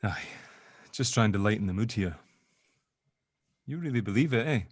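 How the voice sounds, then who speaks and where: A man acting as a sardonic sceptic in an audio drama. He banters informally in a fairly low voice, recorded dry with little room sound. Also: expressive, moderate pace, dry recording. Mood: musing. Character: sardonic, sceptical spirit-dealing grave opener, gently joking. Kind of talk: audio drama table read.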